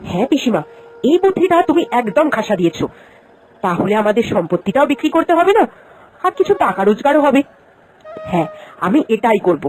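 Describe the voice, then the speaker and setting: A woman's voice: fairly high pitch, very animated, a little fast, long pauses, a little mellow, poor audio. A woman playing a caring, cautious village housewife, an elder sister-in-law, in a moral-story cartoon, speaking earnestly and expressively. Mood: pleased.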